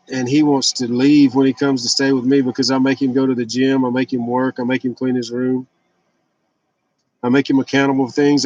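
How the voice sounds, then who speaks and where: A man's voice: mid-range voice, a little mellow, no echo, highs cut off. A man in his fifties talking casually and candidly in a rambling, blunt, confessional personal vlog. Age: 50s. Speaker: vlogger sharing fasting and fitness journey.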